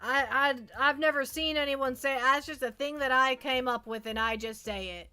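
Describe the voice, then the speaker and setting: A woman's voice: high voice, normal speed, pushed and bright, clear, resonant tone, soft background music. A woman chatting casually to viewers as a livestream host, rambling playfully and often repeating her phrases.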